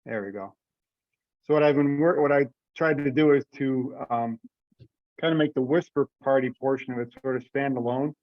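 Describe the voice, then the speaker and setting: A man's voice: medium pitch, normal speed, dry recording. A man talking casually in an online meeting, thinking aloud hesitantly as he goes through rules as an organizer. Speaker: event organizer presenting rules. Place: online teleconference call. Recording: dull lo-fi teleconference audio.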